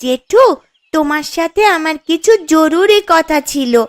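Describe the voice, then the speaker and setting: Woman voicing a very high-pitched pleading little girl. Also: lively intonation, a little slow. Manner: earnest, pleading. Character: poor, pleading village girl with a sick grandfather. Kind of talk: Bengali children's cartoon story dialogue. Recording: music playing under the voice.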